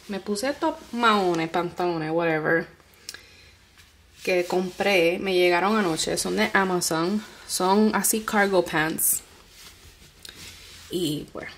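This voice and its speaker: Bronx-born woman, chatty family vlog at home, mixes in english, faint hiss. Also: lowish voice. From New York, the Bronx. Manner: chatty, mixes English words into her Spanish. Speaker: family vlogger. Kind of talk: casual vlog chat to camera. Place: at home, packing a suitcase.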